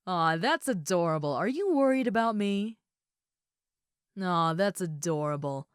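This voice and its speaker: A woman's voice: lowish voice, expressive, measured pace, clean tone, dry recording, studio quality. A woman playing a muscular female ogre roommate in a roleplay audio, friendly, easygoing and caring, with a casual, chatty way of talking and hesitant asides. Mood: playful, reassuring. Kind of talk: roleplay audio acting, addressed to the listener.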